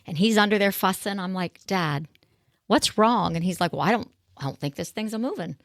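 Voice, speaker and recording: A woman co-hosting a church podcast who tells stories in a loud voice and acts out what people said. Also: mid-range voice, brisk, dry recording, clean studio sound. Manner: animated storyteller, acts out quotes. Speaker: podcast co-host. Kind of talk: casual storytelling chat.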